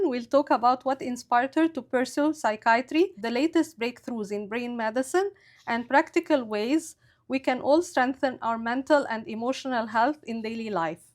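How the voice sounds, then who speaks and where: A woman hosting a health podcast, reading a prepared introduction and asking interview questions in an upbeat, smiling way. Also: on the high side. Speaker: podcast host.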